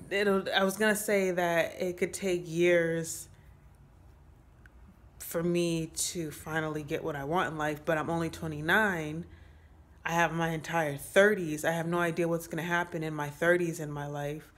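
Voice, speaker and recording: A woman in her late twenties talking to her phone camera in a small room, giving a casual, rambling update with many pauses and fillers. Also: fairly low pitch, fairly even intonation, fairly bright tone. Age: 20s. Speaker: vlogger. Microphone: phone held close at arm's length.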